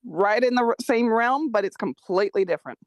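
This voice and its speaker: A woman's voice: fairly high pitch, expressive, brisk, no echo. A woman screenwriter chatting casually about her own script in a hesitant, self-critical way.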